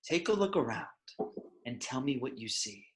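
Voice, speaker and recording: A man playing a confident, refined figure in a superhero script read, measured and self-assured. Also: medium pitch, big pitch swings, fairly bright tone. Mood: suave, confident. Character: confident, refined man surveying a powerful crowd.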